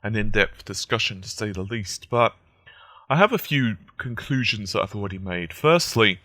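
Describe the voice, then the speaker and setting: A man with a croaky, just-woken-up voice, giving casual, analytical gaming news commentary. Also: on the low side, expressive, normal speed, pushed and bright, no echo. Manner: conversational, analytical.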